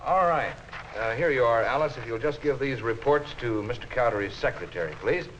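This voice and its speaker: An American actor playing a brusque, patronizing middle-aged office boss in a 1950s instructional film, on a muffled, hissy soundtrack. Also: male voice, mid-range voice, lively intonation, a little fast, fairly bright tone, natural voice. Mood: brisk, businesslike. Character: exasperated male accounting department boss, brusque and patronizing.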